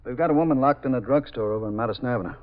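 A man with an American accent playing a brisk, efficient desk lieutenant, clipped and businesslike, in a 1950s radio drama. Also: medium pitch, noisy background, natural voice. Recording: muffled 1950s radio recording.

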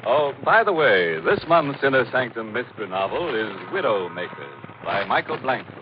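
An actor playing a ghoulish, tongue-in-cheek host of a 1940s radio mystery show, joking macabrely. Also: male voice, medium pitch, big pitch swings, moderate pace, lightly acted. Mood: announcing. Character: sardonic, ghoulish male horror-show host. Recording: muffled, noisy old radio recording.

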